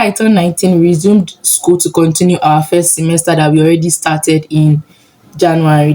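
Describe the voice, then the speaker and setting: A woman's voice: low-pitched, dark tone, poor audio. A young Nigerian woman with a Nigerian accent, chatting casually and upbeat in a sit-down vlog. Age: young adult. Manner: chatty, upbeat, laughs often. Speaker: vlogger, medical student.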